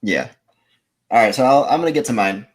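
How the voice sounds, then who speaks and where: A man's voice: medium pitch, animated, fairly quick. A man chatting casually as a contestant on a podcast. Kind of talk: casual chat.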